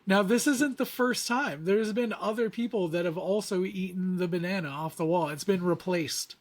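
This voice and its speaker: A man hosting a casual podcast, animated as he retells posts he read in quoted asides. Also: high voice, moderate pace. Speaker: podcast host.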